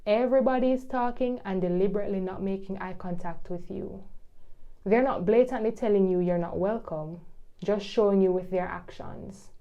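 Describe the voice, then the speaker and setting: A woman's voice: medium pitch, dark tone. Wry young woman vlogging. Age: young adult. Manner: wry, chatty, with patois asides. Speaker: vlogger, international student. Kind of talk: scripted vlog narration.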